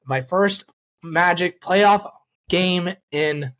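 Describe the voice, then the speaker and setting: A man's voice: high-pitched, extremely slow, a bit bright. A man hosting a sports show in a casual fan's style, repeating phrases for emphasis. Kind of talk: casual fan chat.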